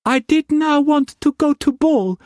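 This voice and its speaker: A woman's voice: high voice, animated, measured pace, a little mellow, no room sound, clean studio sound, pitched above the performer's own voice. A man voicing a frightened, pregnant young woman who begs in broken pidgin English. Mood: pleading, tearful.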